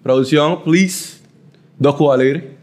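A man's voice: fairly high pitch, takes it slowly, a little mellow. A man hosting a casual interview over drinks, playful and teasing, repeating words and using lots of slang. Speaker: podcast host.

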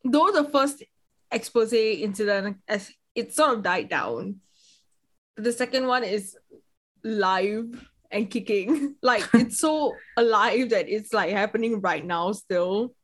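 A woman's voice: medium pitch, lively intonation, slow pace. A young woman from Singapore, hosting a podcast in casual, giggly chat full of fillers. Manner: chatty, laughs often, lots of "like" fillers. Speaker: podcast host.

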